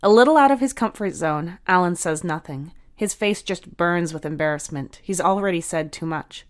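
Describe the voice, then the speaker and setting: A woman's voice: fairly low pitch, moderate pace. A woman reading scripted fiction aloud as the narrator of a short story.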